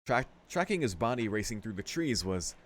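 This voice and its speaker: A man's voice: fairly low pitch, big pitch swings, normal speed. A man playing a visual novel, reading its text aloud with casual, sweary commentary and muttered asides. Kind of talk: casual gaming commentary mixed with read-aloud narration.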